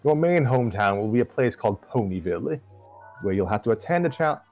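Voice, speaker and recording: A man's voice: mid-range voice, expressive, fairly quick, a little mellow, faint music behind, lightly acted. A man voicing a smug male cartoon trickster creature who announces contest rules, with some stumbles. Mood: explaining. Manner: expository, announcing, stumbling over words.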